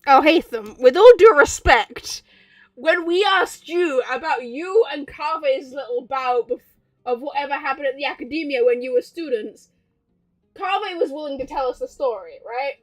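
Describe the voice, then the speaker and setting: A woman with a high voice gives chatty livestream commentary on a game. She is playful and quick to react, with faint music in the background. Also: rough recording. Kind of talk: casual chatty gameplay commentary.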